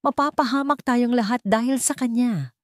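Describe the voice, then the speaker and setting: A woman's voice: on the high side, moderate pace, no echo, voice pushed up, natural voice. An older, wealthy matriarch, as a woman narrator plays her, full of secrets and worry. She pleads and warns with urgency in a storybook drama, on a very dry recording. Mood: alarmed.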